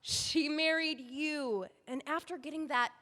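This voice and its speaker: A woman's voice: sits high, fairly even intonation, measured pace, pushed and bright, big-room sound. A woman playing a pushy, scheming book editor in an audio drama, talking in a bossy, breezy, persuasive way. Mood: dismissive.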